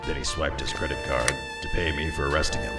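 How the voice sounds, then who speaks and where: A man acting a zealous, self-righteous police detective, narrating a noir parody with deadpan, dramatic emphasis. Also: extremely low pitch, fairly quick. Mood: solemn. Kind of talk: hardboiled first-person noir parody narration. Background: music.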